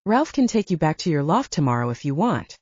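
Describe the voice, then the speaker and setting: A woman reading the lines of a calm, earnest male werewolf alpha in an audiobook. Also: sits low, fairly quick, husky, no room sound, lo-fi sound, full character voice.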